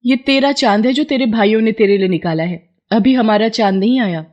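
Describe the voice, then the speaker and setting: A woman's voice: fairly high pitch, fairly quick, a little mellow, muffled recording, close to an everyday voice. A woman voicing a scolding sister-in-law in a folktale, speaking in a reproachful manner.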